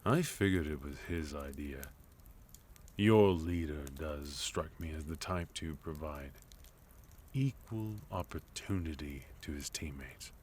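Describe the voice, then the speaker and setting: Man voicing a very deep, courteous villain leader. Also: a little mellow, slight background noise. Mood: dry, amused. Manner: formal, polite, measured, with deliberate pauses. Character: sophisticated, courteous villain leader, calm and quietly menacing. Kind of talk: ASMR roleplay, intimate second-person acting.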